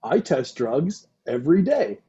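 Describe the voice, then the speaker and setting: A man's voice: mid-range voice, sing-song, a little slow, warm tone, breathy edge, muffled recording, exaggerated voice. A man playing a dim but sweet young boyfriend, earnest and dopey, in a comedy table read.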